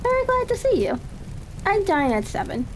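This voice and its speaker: A woman voicing a self-assured, genial gentleman detective in a storybook reading, politely and with measured phrasing. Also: very high voice, big pitch swings, pitched above the performer's own voice. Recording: faint crackling ambience behind.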